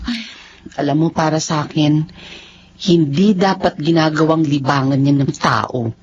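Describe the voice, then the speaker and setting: A woman's voice: deep voice, measured pace, slight background noise. A woman playing a remorseful, affectionate seaman's wife who keeps secrets, in an emotional Filipino radio drama. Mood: earnest, happy. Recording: muffled audio with music bed.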